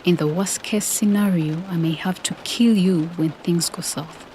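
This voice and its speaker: A woman's voice: lowish voice, moderate pace, darkish tone, slight background noise, lightly acted. A woman playing a cool, commanding leader of a covert mission in an audio drama. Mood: commanding, grim. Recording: music, rain and vehicle sound behind.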